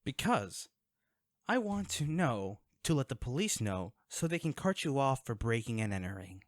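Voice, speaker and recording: A man's voice: mid-range voice, big pitch swings, moderate pace, no echo, clean studio sound, close to an everyday voice. A man playing a guarded, wealthy vigilante in an audio drama, speaking in a curt, sarcastic and threatening way to the listener.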